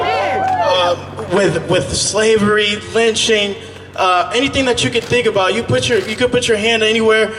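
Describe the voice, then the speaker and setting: A man's voice: sits high, reverberant, long reverb tail, background noise. A man giving an earnest, conversational speech at an outdoor rally, addressing the crowd directly. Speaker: rally speaker. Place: outdoor rally at city hall.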